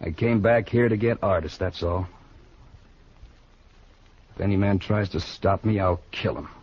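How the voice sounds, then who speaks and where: A proud western gunslinger in an old radio drama. His voice is low, blunt and defiant. The recording is dull vintage broadcast sound. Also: measured pace, darkish tone, slight background noise. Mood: grimly determined. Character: proud, dangerous returning gunslinger, a lone drifter. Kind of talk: 1950s radio acting.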